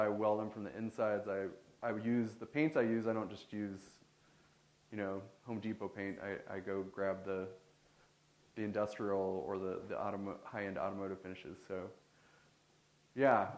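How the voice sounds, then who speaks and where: Guy answering questions at a talk, kinda low voice, lots of ums, roomy echoey hall. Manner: casual, halting, many fillers and restarts. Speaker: artist answering audience questions. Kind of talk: live Q&A at a talk. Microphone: handheld microphone. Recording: echoey room on a hand mic.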